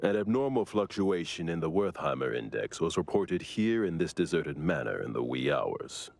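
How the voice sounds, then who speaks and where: A stern male investigator from an anime game, speaking formally and dryly. His voice is very low and gravelly, recorded close and dry, with a measured deadpan delivery. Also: no echo, heavily acted. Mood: serious briefing. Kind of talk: anime video game voice acting.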